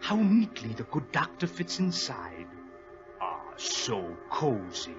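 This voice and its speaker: A sinister male mastermind of 1940s radio drama. He is suave and mock-polite, gloating in lively, swinging intonation. The old recording is dull, with music underneath. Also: mid-range voice, slow.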